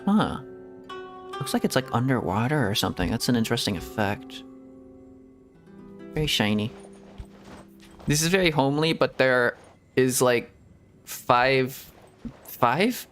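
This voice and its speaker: A man's voice: medium pitch, animated, unhurried, long pauses, fairly bright tone, soft background music. A man streaming a game, rambling to himself in casual commentary and giggling often. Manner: rambling self-talk with frequent giggles. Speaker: VTuber streamer.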